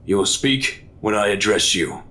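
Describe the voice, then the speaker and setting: An actor playing a commanding older admiral in a sci-fi drama, with an authoritative, clipped and dismissive manner. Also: male voice, lowish voice, very animated, normal speed, sharp tone. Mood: stern, skeptical. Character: stern, skeptical military admiral, commanding older man. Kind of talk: sci-fi audio drama acting.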